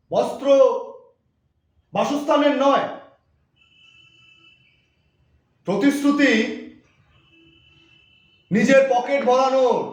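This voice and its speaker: The recording rings with heavy echo around a righteous, indignant politician acted by a man. His voice sits extremely high for a male. He delivers a theatrical campaign monologue in emphatic, oratorical phrases, as if rallying wronged voters. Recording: very echoey, reverberant room sound.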